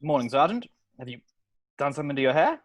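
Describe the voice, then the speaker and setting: An earnest, humourless police sergeant played by a man at a script reading, formal and clipped. The delivery is quick and lively in pitch, with a husky, fairly high male voice. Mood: polite, confused. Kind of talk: amateur screenplay table read.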